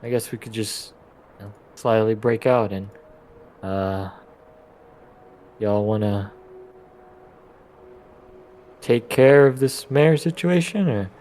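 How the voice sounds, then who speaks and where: A man playing a tabletop role-playing game, improvising in-character dialogue in a halting, hesitant way with trailing phrases. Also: fairly low pitch, a little mellow.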